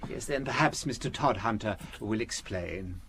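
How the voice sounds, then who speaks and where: Gentle British priest-detective, expressively lilting. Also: male voice, on the low side, lively intonation, moderate pace, noisy background. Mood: mildly amused. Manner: hesitant, polite, quietly explanatory. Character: gentle, shrewd, mild-mannered priest amateur detective. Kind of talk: British radio drama acting.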